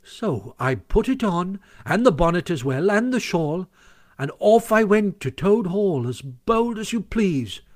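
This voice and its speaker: A man voicing an excitable, boastful little mole in a storybook, telling his tale with eager glee. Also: high-pitched, unhurried, pitched above the performer's own voice. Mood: gleeful, pleased. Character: chirpy, boastful, excitable small male mole.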